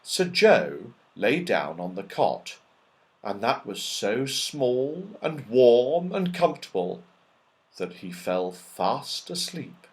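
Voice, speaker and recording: A man's voice: medium pitch, very animated, takes it slowly. A man narrating a children's story expressively, with dramatic and emphatic phrasing. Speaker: audiobook narrator.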